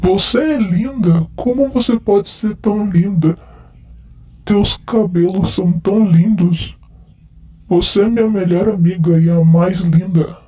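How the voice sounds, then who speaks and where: A drunk, heartbroken man, played by a male actor, pleads over and over in a first-person roleplay. His voice sits fairly high yet sounds dark and mellow. He slurs and repeats himself as he begs his friend to stay close. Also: measured pace, low-quality recording. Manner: repetitive, pleading.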